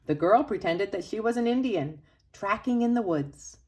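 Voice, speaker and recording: A woman reading a children's picture book aloud expressively, with dramatic emphasis and pauses for young listeners. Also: medium pitch, expressive, a little mellow. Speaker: storybook reader for children's church.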